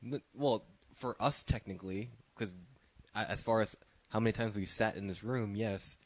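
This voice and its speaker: A man's voice: fairly low pitch, moderate pace. A man co-hosting a music podcast, congested and a little nasal from allergies, rambling and joking with many restarts. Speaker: podcast co-host. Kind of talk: casual podcast banter.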